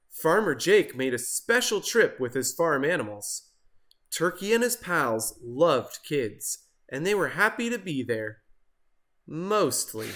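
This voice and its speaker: A man reading a children's picture book aloud as an expressive, scripted narration. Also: fairly high pitch, a little hiss or hum. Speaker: storybook reader.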